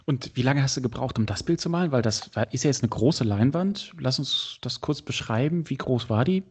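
A man's voice: medium pitch, animated, fairly quick, a little mellow, slightly rough. A man from Berlin hosting a casual podcast interview, chatty and enthusiastic, often addressing his listeners directly. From Berlin, Germany. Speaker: podcast host.

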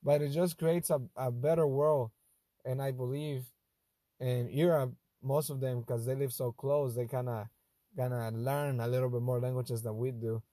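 A young man with a Spanish accent, English not his first language, hosting a casual monologue in a thoughtful, halting way that often restarts phrases. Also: mid-range voice, fairly even intonation, mellow, no room sound. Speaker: host.

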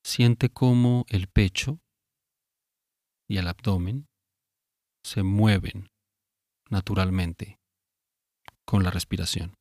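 A man narrating a guided sleep meditation in a calm, soothing manner, giving unhurried instructions with long pauses. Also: low-pitched, no echo, studio quality.

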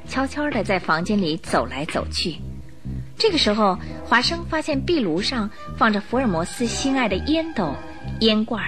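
Lively woman narrator over music. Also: medium pitch. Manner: expressive, measured storytelling. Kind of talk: dramatic scripted story narration for radio drama. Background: music under the voice.

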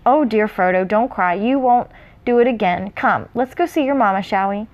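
A woman's voice: medium pitch, normal speed, close to an everyday voice. A woman as a prim, affectionate young hobbit woman. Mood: tender. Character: young female hobbit, twenty-nine, prim, affectionate elder cousin. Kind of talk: read-aloud storybook dialogue.

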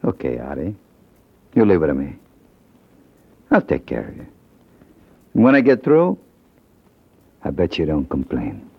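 An actor playing a controlled, quietly menacing crime boss type in an old radio detective drama. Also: male voice, very deep voice, huge pitch swings, measured pace, pauses often, breathy edge, phone-quality sound, full character voice. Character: controlled, menacing wealthy crook being blackmailed. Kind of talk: 1940s-50s American radio detective drama acting.

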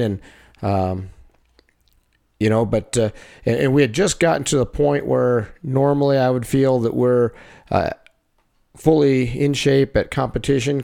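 Halting wrestling coach, lots of ums. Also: male voice, mid-range voice, vocal fry, warm tone. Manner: halting, heavy on fillers and restarts. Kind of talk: casual chat.

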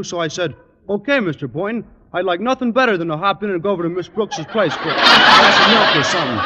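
An actor playing a dim, good-natured young athlete in a 1950s radio sitcom, rambling and ungrammatical. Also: male voice, sits high, bright, forceful tone, a little hiss or hum. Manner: slow-witted, rambling, ungrammatical. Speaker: student athlete. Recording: dull old radio recording, reverberant studio.

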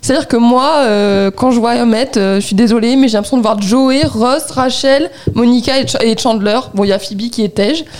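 A woman's voice: a little high, brisk, hardly any pauses, darkish tone. A woman in her twenties, co-hosting a podcast and arguing animatedly about a TV series, with lots of fillers and restarts. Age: 20s. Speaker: podcast co-host. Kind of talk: casual debate about a TV series.

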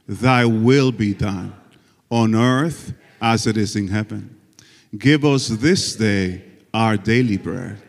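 A man's voice: lowish voice, darkish tone. A male guest pastor from the Dominican Republic, preaching a folksy sermon with jokes and frequent amens. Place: church sanctuary. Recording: church hall reverb.

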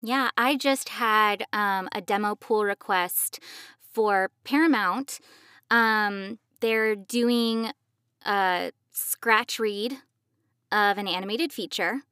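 A woman's voice: on the high side, fairly bright tone, smooth, dry recording, studio quality. A woman co-hosting a podcast in a conversational style. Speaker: podcast co-host, voice acting professional.

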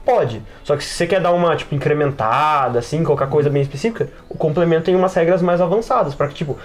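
A man's voice: on the high side, fast. A man with a Brazilian accent chatting casually and informally as a podcast host, full of slang and fillers. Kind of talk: casual podcast chat about tabletop RPGs.

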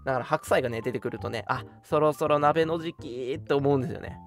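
A young man co-hosting a radio show, chatting casually in an animated way and readily agreeing with his partner. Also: mid-range voice, quick pace, faint music behind. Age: young adult. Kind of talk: casual chat.

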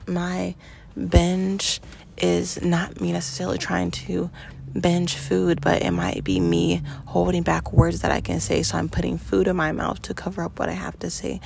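A woman in her twenties hosting a podcast, talking in an earnest, reflective monologue with slow pauses and fillers. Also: lowish voice, gravelly, a little mellow, slight background noise. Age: 20s. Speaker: podcast host.

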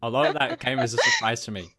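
A man's voice: mid-range voice, wildly swooping pitch, fast talker, pushed and bright. A man hosting a casual interview, curious and asking many follow-up questions. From Australia. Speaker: host.

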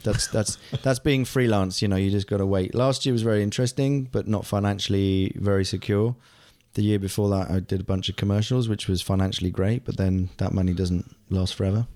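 A man's voice: fairly low pitch, steady tone, fairly quick, hardly any pauses, a little mellow. A man in his forties, an actor from England whose first language is German, chatting casually as an interview guest with many fillers and restarts. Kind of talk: casual conversation.

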